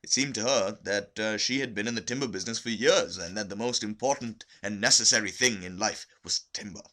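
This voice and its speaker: A man narrating a literary short story from a script, expressive and deliberate, with emphasis on key words. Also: on the low side. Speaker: amateur audiobook reader.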